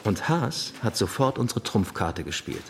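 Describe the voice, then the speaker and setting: An actor playing a dry, eager assistant detective who talks and narrates matter-of-factly in a radio drama. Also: male voice, fairly low pitch, big pitch swings, normal speed, vocal fry, a little hiss or hum. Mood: narrating, intent.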